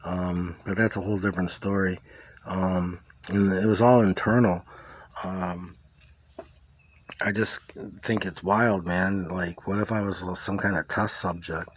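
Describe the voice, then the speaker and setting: A man's voice: deep voice, steady tone, a little slow, slight background noise. A man recounting a personal experience in a casual, rambling way, often dropping in "you know", "like" and "man". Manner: informal, peppers talk with "you know", "like" and "man". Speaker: vlogger recounting own experience.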